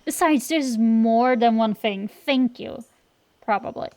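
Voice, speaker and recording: A woman's voice: a little high, slow pace, darkish tone. A woman playing a cocky tsundere dragon-girl sorceress in an acted roleplay, bantering straight to the listener with sassy, slangy, defensive bluster. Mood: boastful.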